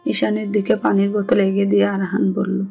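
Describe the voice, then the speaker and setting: A woman's voice: medium pitch, monotone, a little fast, creaky, slightly rough, dull audio. A woman with a Bangladeshi accent reading scripted narration of a romantic story. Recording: music under the voice.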